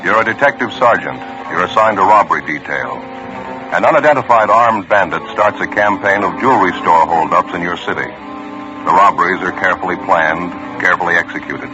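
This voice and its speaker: Deep-voiced 1950s radio announcer. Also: male voice, a little fast. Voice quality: firm, declarative delivery. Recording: dull old radio broadcast with music.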